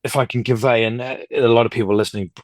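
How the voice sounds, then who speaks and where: A man in his fifties talks at length as a podcast guest, rambling from one thought to the next with an upbeat energy. His pitch sits in an ordinary middle range, and the sound is dry and close with no room around it. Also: steady tone, fast talker, no room sound. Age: 50s. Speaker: guest, record collector and dealer. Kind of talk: casual interview chat.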